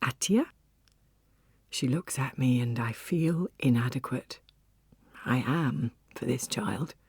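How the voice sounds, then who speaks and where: An actress playing a weary, wry woman cafe owner, telling her story in an audiobook with an expressive, breathy, conversational delivery. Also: very deep voice, slow, no echo, studio quality, full character voice. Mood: wry, reflective. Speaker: audiobook narrator. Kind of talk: first-person dramatic audiobook narration.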